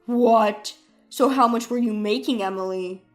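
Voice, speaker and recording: A woman's voice: fairly high pitch, a little slow, faint music behind, close to an everyday voice. A woman voicing a needy, wheedling ex-husband in a dramatized story, pleading and plaintive. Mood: shocked.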